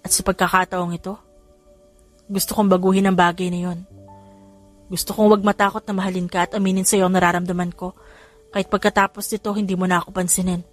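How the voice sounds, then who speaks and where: A woman's voice: fairly low pitch, level tone, fast, long pauses, faint music behind, natural voice. A woman voicing an earnest, hesitant young man in love in a romance audiobook. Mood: earnest confessing. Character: earnest lovestruck young man, tough but timid.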